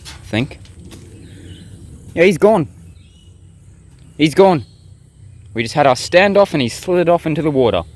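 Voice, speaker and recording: A man's voice: a little high, a little slow, pauses often, no room sound. Young male vlogger, casual and rambling, outdoor handheld sound with insect noise behind. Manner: rambling, relaxed, talks straight to viewers. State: sick, blocked nose and sore throat. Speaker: bushcraft vlogger. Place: outdoors in the bush.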